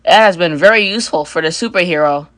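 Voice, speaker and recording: Woman as a bright, excitable superhero monster. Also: on the low side, fast, natural voice. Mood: excited.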